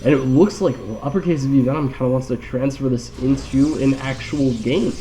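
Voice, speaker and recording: A man's voice: medium pitch, moderate pace, mellow, a little hiss or hum. A man with a nasal, congested voice from allergies gives casual live game commentary with wry, rambling, joking asides. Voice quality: nasal, stuffed-up.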